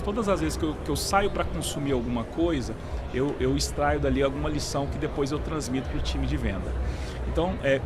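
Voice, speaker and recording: A Brazilian Portuguese-speaking man answering in a conversational podcast interview, often repeating and restarting his words. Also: fairly high pitch. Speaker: guest, real estate sales director. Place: busy trade fair podcast booth. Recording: clearly noisy event floor with traffic-like ambience.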